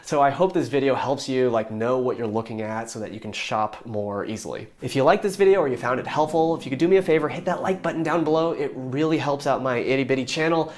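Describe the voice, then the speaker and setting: A young man with a hoarse, worn voice talks straight to his viewers in a casual, rambling way. He sits at an ordinary middle pitch and gets keen and excited as he goes. He keeps restarting his sentences and filling gaps with little fillers. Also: fast talker, few pauses. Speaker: YouTube car reviewer. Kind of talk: casual explainer talk.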